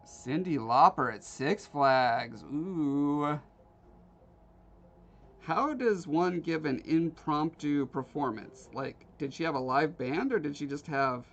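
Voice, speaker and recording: A man's voice: fairly high pitch, fairly bright tone, dull audio, slight background noise. Rambling man chatting with his viewers. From Alaska. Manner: rambling, joking with live chat. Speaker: livestream host. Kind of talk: casual chat while carving.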